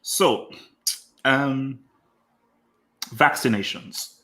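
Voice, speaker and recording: A man hosting a casual podcast chat, arguing his point with animated emphasis and lots of repeated words. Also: mid-range voice, drawn-out words. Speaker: podcast host.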